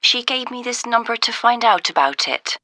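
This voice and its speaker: A friendly caller asking about a holiday outing in a scripted dialogue. Her voice is bright and very animated, polite and keen. The recording is dry and close. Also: on the high side, moderate pace, no echo. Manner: polite, enthusiastic. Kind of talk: scripted listening-test phone dialogue.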